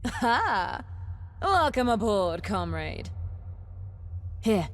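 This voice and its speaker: A woman playing an eccentric, excitable, boastful young treasure hunter in a video game, chatty and theatrical with dramatic emphasis. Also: mid-range voice, drawn-out words. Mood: triumphant, eager. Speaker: game companion character.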